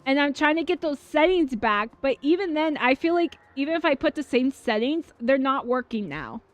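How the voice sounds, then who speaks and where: A woman streaming a game, chatting casually with viewers, excitable and swearing freely. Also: high-pitched, moderate pace, no echo. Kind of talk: casual livestream chat over gameplay. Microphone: dynamic mic. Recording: dull mic with faint game music.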